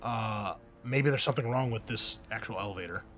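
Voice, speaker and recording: A man acting as game master, talking through rules and narrating casually, often halting as he thinks aloud. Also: lowish voice, a bit bright. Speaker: game master of an online tabletop game. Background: music.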